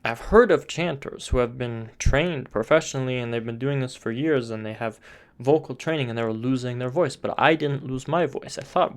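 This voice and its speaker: A man teaching chanting, explaining voice care in a calm, explanatory way with frequent "sort of" fillers. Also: medium pitch. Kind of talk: spoken voice lesson.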